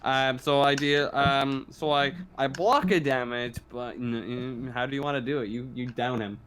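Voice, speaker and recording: A man talking as game master of a casual tabletop game, halting and repeating phrases as he narrates. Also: mid-range voice, fairly even intonation, a bit bright, slight background noise.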